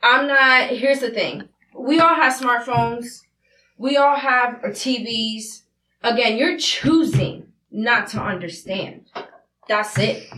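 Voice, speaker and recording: A woman's voice: a little high, slow pace, sharp tone, distant mic. A woman in her thirties in a candid group discussion, telling her story bluntly and with passion. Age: 30s. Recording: roomy sound, away from the mic.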